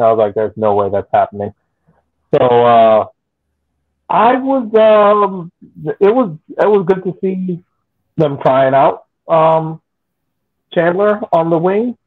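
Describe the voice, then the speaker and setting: A young man chatting casually about sport as a podcast panelist on a video call, with a halting delivery. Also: mid-range voice. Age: young adult. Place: indoor room at home. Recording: muffled phone-like call audio.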